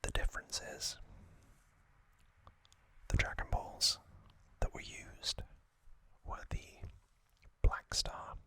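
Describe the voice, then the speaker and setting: A man narrating trivia as an ASMR host in a gentle, breathy, deliberate whisper.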